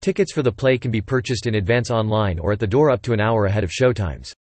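A man reading English example sentences aloud for listening practice, in an even, clipped delivery. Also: lowish voice, quick pace, dry recording.

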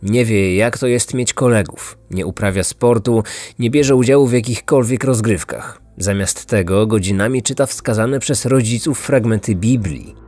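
A man hosting a podcast, reading scripted true-crime narration like a measured storyteller. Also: on the low side, a little mellow, no room sound. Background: faint music.

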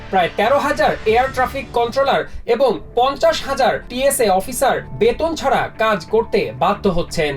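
High-voiced woman reading news. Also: distant mic. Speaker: news reporter. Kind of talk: scripted news narration.

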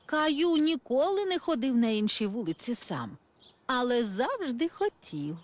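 A woman playing a gentle storyteller, narrating a children's cartoon in an expressive storybook manner. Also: a little high, unhurried. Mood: gentle storytelling. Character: gentle storyteller narrating a small boy's day. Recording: music behind the voice.

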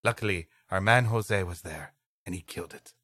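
A man's voice: low-pitched, moderate pace, a bit bright, dry recording, clean studio sound. A man co-hosting a comedy podcast, reading an old adventure story aloud expressively and dropping in playful asides. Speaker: podcast co-host.

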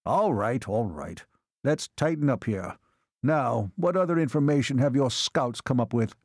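Man as weary aging nobleman father, authoritative, relaxed dark timbre, storybook reading. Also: mid-range voice, unhurried, no echo, clean studio sound. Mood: brisk, commanding. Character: weary, commanding older male baron, a father.

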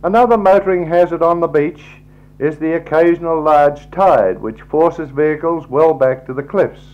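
A man with an Australian accent gives a measured, formal scripted travelogue narration in a 1960s style. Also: a little high. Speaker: slideshow narrator. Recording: dull, lo-fi 1960s tape recording.

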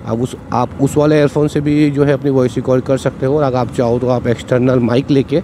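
A young man chats and explains as he rides a motorbike through town, his voice sitting in a middling register. Steady traffic and road rumble run clearly under his words. He speaks into a cheap mic worn on his body. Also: brisk. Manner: chatty, explanatory, repeats "ठीक है". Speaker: moto vlogger. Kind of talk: casual vlog talk while riding. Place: riding a motorcycle on city roads outdoors. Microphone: cheap wired external mic on rider. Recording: traffic noise from riding outdoors.

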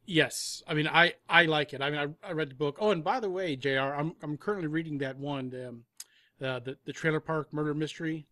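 Higher-voiced man, dry close recording. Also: a little fast, bright, forceful tone, no room sound. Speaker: audio drama producer, podcast guest. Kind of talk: casual interview chat.

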